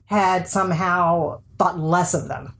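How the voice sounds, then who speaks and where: A woman's voice: lowish voice, fairly even intonation, takes it slowly, a bit bright. A woman co-hosting a podcast, talking candidly and wryly, often acting out what others said. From American South. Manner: candid, wry, acts out quoted remarks. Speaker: podcast co-host. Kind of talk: casual conversation.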